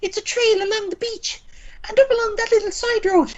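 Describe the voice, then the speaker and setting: Very high, eager Irish stable hand, acted by a woman. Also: steady tone, a little fast, muffled recording. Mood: excited. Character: eager, simple-minded young stable hand. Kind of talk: amateur radio play acting.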